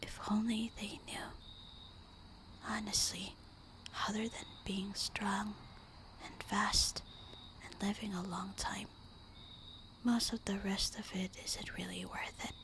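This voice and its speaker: A woman's voice: medium pitch, flat delivery, fairly bright tone. Woman acting a whispering, melancholy vampire wife. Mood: wistful, resigned. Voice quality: breathy, unvoiced whisper. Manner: slow, tender, intimate. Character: loving, melancholy vampire wife, gentle and devoted. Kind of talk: whispered ASMR roleplay monologue.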